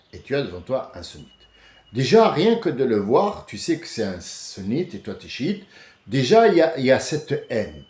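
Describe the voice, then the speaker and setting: A French man hosting a casual monologue, animated and often restarting, rising to heated outbursts. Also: medium pitch. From France. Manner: many restarts and hesitations. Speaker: host. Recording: echoey room.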